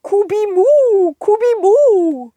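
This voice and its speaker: High, wailing little cartoon ghost. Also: female voice, animated, mellow, no echo, exaggerated voice. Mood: eerie wailing. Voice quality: drawn-out, high, hooting moan.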